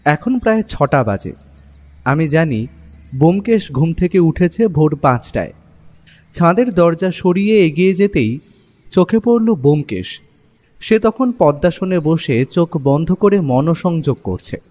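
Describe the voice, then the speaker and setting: A man narrating a scripted first-person story as an expressive storyteller. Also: on the high side, a little slow. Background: music.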